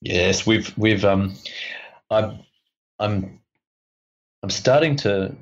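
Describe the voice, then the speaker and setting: Australian man, very low voice, rambling podcast guest, dull lo-fi sound. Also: very slow. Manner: rambling, laughs often, many restarts. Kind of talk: casual podcast chat.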